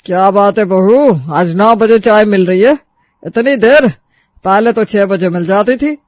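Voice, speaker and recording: A woman's voice: medium pitch, unhurried, darkish tone, dry recording, lightly acted. A woman voicing a stern older father-in-law who scolds his daughter-in-law for being late. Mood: scolding. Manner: strict, scolding.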